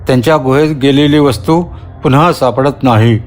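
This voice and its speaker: A man voicing a friendly, earnest talking bear in a children's cartoon story, speaking in a measured, reassuring way. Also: medium pitch, faint music behind, lightly acted.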